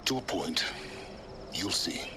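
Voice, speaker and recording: Low, cryptic scholar. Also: male voice, lively intonation, very noisy. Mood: curt, secretive. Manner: measured, enigmatic, detached. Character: aloof, cryptic middle-aged male scholar and schemer. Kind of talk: dramatic video game acting.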